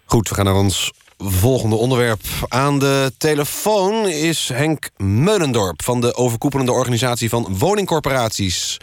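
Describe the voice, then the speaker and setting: A man's voice: mid-range voice, lively intonation, moderate pace, no echo, lightly acted. Actor as dry-sounding serious male news presenter. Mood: serious, probing. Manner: straight-faced, formal. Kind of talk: mock news reading and interviewing.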